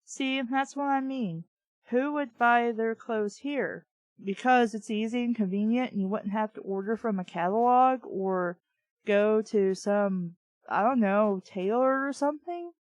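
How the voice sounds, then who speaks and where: A woman's voice: on the high side, unhurried, no echo. A woman hosting a bad fiction show, reading a story aloud and breaking into blunt, sweary, exasperated commentary.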